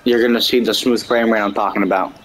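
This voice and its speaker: Excited man chatting over gameplay. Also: fairly low pitch, rough recording. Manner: excited, quick reactions. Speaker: gamer playing with friends. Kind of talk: casual gameplay chat.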